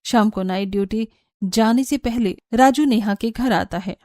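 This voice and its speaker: A woman's voice: fairly high pitch, unhurried, darkish tone, dry recording, studio quality. A woman reading scripted narration for a cartoon story.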